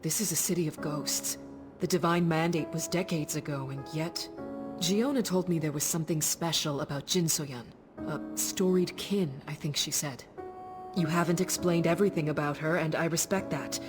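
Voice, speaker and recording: Casting: warrior's loyal woman companion for a fantasy game, female actor, slightly low pitch, measured, caring, earnest, music underneath. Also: natural voice. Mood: concerned, earnest. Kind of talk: video game voice acting.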